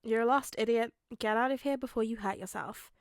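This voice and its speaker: A woman's voice: fairly high pitch, moderate pace, dry recording, clean studio sound, natural voice. A woman voicing a young female receptionist in a story. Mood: bored, dismissive. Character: bored, dismissive young female receptionist turned nervously deferential.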